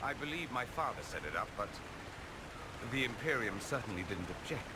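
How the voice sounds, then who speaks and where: An actor voices a sardonic aristocratic mage from a fantasy game. He is arch and theatrical in a medium-pitched voice, full of dry irony. There is heavy noise in the background. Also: expressive, moderate pace, close to an everyday voice. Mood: wry. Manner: arch, theatrical, dryly ironic. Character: suave, witty, sardonic aristocratic male mage. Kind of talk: video game character dialogue. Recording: loud background noise and ambience.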